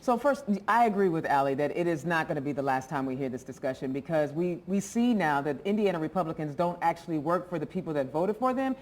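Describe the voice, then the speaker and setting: A woman's voice: deep voice, lively intonation, quick pace, hardly any pauses, a little mellow. A woman debating politics as a TV panelist, emphatic and combative.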